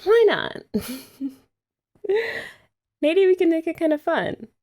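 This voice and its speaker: A woman's voice: very high voice, very animated, takes it slowly, a little mellow. A young woman chatting playfully to a partner in an ASMR roleplay, teasing and laughing often. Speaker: ASMR creator. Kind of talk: scripted ASMR roleplay as a girlfriend.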